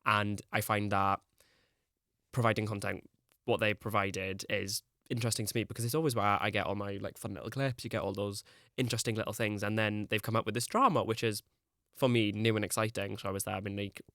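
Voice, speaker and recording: A man's voice: on the low side, steady tone, fairly quick, bright, forceful tone, no echo, studio quality. A young man, chatting as a podcast guest, rambling and full of "like". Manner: rambling, peppered with "like". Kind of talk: casual chat.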